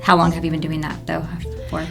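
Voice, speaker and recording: A woman's voice: sits low, completely flat delivery, fast, vocal fry. A woman hosting a podcast, casually putting questions to a guest and chiming in with short replies. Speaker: podcast host. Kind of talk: casual interviewing. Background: music in the background.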